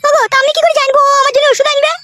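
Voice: very high voice, little pitch movement, racing, pushed and bright, pitched above the performer's own voice. A performer voicing a whiny, imploring young wife in a comedy cartoon. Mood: worried, defensive. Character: shrill young wife, whining and pleading.

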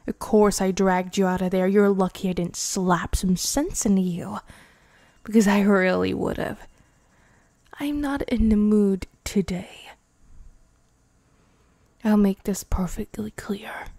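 A woman voicing a possessive, menacing young vampire bride in an intimate, teasing ASMR roleplay, breathy and often whispering. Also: medium pitch, steady tone, takes it slowly, long pauses, mellow, no room sound. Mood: cold, menacing. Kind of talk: ASMR girlfriend roleplay, improvised.

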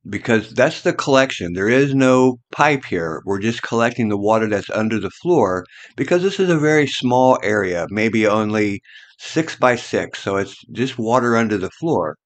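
A man narrates a drainage job as voice-over in a steady, instructive way. The recording is close and dry, with no room in it. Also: mid-range voice, normal speed, no echo.

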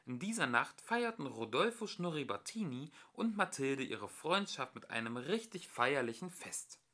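A young man reading a children's storybook aloud as an amateur narrator, with expressive storytelling. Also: medium pitch.

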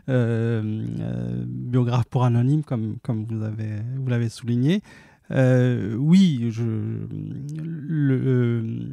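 Halting, gravelly man, slow and dark. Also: medium pitch, no room sound. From Algeria. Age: 50s. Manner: halting, many restarts and fillers. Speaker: author guest. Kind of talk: hesitant interview answers. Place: cultural institute stage, indoors. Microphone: handheld mic.

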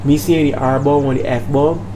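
A man's voice: medium pitch, moderate pace. An older man with a Bajan accent talks casually as a minister hosting his vlog, preachy and emphatic, repeating phrases and checking in with listeners. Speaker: minister and channel host. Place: small room at home, indoors. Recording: noisy room with steady background hum.

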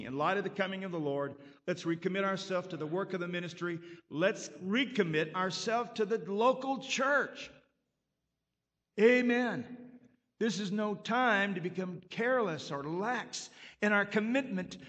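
A man's voice: sits high, fairly bright tone. A man preaching a sermon as pastor, emphatic and punctuated with frequent amens. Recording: long reverb of a church hall.

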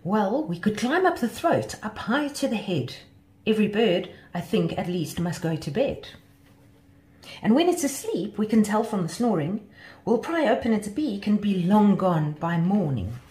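A woman's voice: mid-range voice, sing-song, a little slow, a little mellow, roomy sound, pitched above the performer's own voice. A woman voicing an eager, earnest young female mosquito in a children's story, a loyal and pleading friend.

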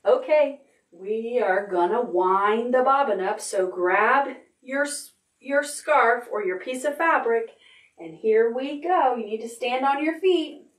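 A woman's voice: on the high side, slow pace. A middle-aged woman hosting a toddler storytime, reading aloud and giving instructions in an exaggerated, sing-song way. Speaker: library storytime host for toddlers. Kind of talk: children's storytime, read-aloud and action rhymes. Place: indoor room with stuffed animals and painted backdrop. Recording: roomy echo, music at times.

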